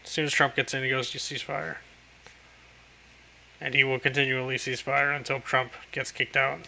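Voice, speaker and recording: A man's voice: mid-range voice, little pitch movement, moderate pace, creaky, pushed and bright, a little hiss or hum, low-quality recording. A young man giving casual, rambling reaction commentary with a crude, self-mocking way of talking.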